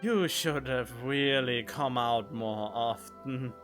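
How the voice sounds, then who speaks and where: A woman's voice: extremely low pitch, slow pace. A woman giving casual, playful playthrough commentary as a game streamer, full of joking asides. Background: game music.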